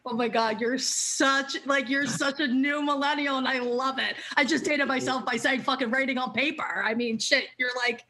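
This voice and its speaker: A woman's voice: sits high, steady tone, moderate pace, hardly any pauses, sharp tone, a little hiss or hum, rough recording. A woman hosting a casual interview, effusive and chatty, swearing freely and filling with "like" and "um". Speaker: interview host.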